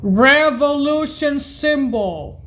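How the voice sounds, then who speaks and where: A woman presenting a halting instructional demonstration, hesitant and often correcting and repeating herself. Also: high voice, lively intonation, fairly bright tone. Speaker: music practice presenter.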